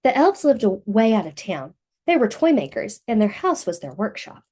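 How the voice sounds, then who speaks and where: A woman reading a picture book aloud to young children in an expressive storytelling manner. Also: a little high, moderate pace.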